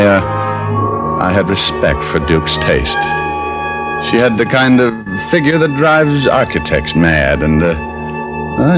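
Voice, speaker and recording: An actor playing a dry, wisecracking male private detective narrating a 1950s radio drama. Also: fairly low pitch. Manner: dry, wisecracking, laid-back. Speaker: narrator and lead. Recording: vintage radio recording with music bed.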